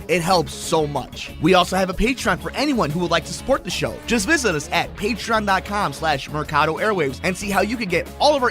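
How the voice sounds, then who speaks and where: A man hosting a podcast, speaking in a chummy way as he does promotional reads and casual interviews. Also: sits high.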